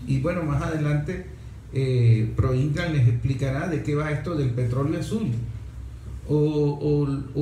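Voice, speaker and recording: A man with a Venezuelan accent and a somewhat high voice hosts a radio talk show. He explains things reflectively, like a teacher thinking aloud and asking rhetorical questions. The room is very echoey, with faint noise behind him. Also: warm tone. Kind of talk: conversational radio talk about environmental issues.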